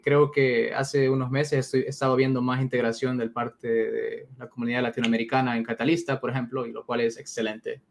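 Man, gravelly voice, guest on a video-call interview, thoughtful with fillers. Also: mid-range voice. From El Salvador. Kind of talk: casual interview answers.

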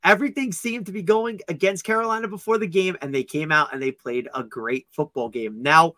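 A man's voice: fairly high pitch, bright, forceful tone, no room sound, studio quality. A man co-hosting a sports podcast, chatting casually in an upbeat, joking way. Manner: upbeat, joking, quick asides. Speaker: podcast co-host.

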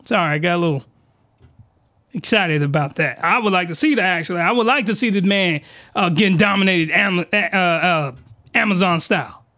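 A man's voice: high-pitched, moderate pace, sharp tone. A man hosting a podcast, recapping an anime in a rambling, mock-outraged way, losing his voice as it cracks. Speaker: podcast host.

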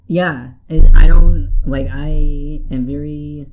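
A young man co-hosting a casual podcast, talking haltingly with many fillers and restarts. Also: fairly high pitch, fairly even intonation. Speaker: podcast co-host. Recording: tinny phone-like sound, faint music.

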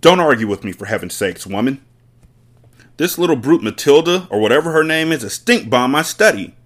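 A man reading aloud and voicing a huge, bullying headmistress who barks and shouts with contempt. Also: medium pitch, animated, brisk, pitched above the performer's own voice, lightly acted. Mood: bellowing scornful. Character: bullying hulking female headmistress, furious.

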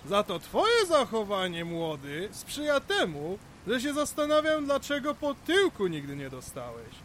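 An actor playing a middle-aged father in an audio drama, hearty, folksy and gruffly mocking, full of exclamations. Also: high voice, wildly swooping pitch, unhurried, a bit bright, a little hiss or hum, heavily acted. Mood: gruff teasing. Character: hearty, gruffly teasing father at a family party. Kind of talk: Polish audio drama dialogue.

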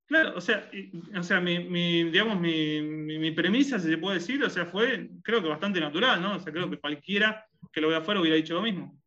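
A man's voice: high-pitched, fairly quick, few pauses, bright, forceful tone, lo-fi sound. A man with a Rioplatense accent, a guest in a conversational interview, talking with many fillers, restarts and hesitations. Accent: Rioplatense Spanish. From Argentina and Uruguay.

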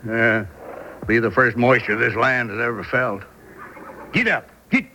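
Noisy radio recording of a Western drama. a cocky frontier husband with a folksy, rustic drawl of words and a schemer's swagger, in a mid-range voice. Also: male voice, mid-range voice, measured pace, pushed and bright. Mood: dejected. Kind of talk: old-fashioned Western radio drama acting.

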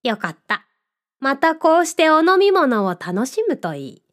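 A woman voicing a friendly, contented talking cat who runs a drink stall in an audio drama, speaking gently and politely with a playful touch. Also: high voice, very animated, unhurried, exaggerated voice. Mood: pleased, gentle. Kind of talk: Japanese audio drama acting.

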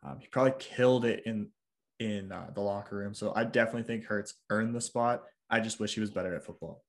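Male podcast co-host, low voice, casual, opinionated, playful banter. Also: steady tone, gravelly. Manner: joking, opinionated, quick back-and-forth. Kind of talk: casual sports chat.

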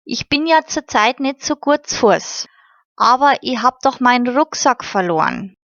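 A woman's voice: fairly high pitch, animated, measured pace, a bit bright, dry recording, dull audio. A woman voicing a cheerful, friendly young girl, chatting in dialect.

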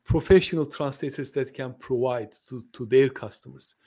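Man, native Turkish speaker, podcast guest, friendly, halting with fillers, mid-pitched voice. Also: mellow. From Turkey. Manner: hesitant, many fillers and restarts, friendly. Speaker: podcast guest, translation company owner. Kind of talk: conversational interview. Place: lives in the UK.

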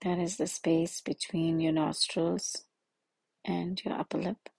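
A woman leading a guided meditation in a slow, soothing manner with long pauses. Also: fairly low pitch, level tone, gravelly, a little mellow, breathy edge, no echo.

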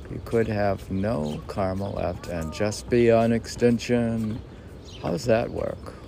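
A man's voice: fairly low pitch, mellow. A man reading his own verse and rambling in spontaneous commentary, musing aloud and answering his own questions. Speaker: poet and podcast host. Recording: clearly noisy background.

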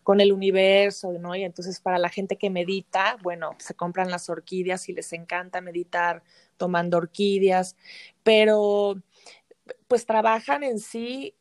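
Woman, Mexican accent, mid-pitched, explanatory interview guest, conversational, frequent tag questions, dry recording. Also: dry recording. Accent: Mexican Spanish. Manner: explanatory, often ends phrases with tag questions. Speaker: interview guest, flower remedy practitioner and teacher.

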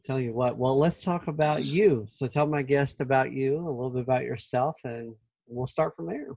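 A man hosting a podcast, easygoing and quick to laugh along with his guest in casual chat. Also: medium pitch, creaky, mellow, dry recording. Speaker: podcast host.

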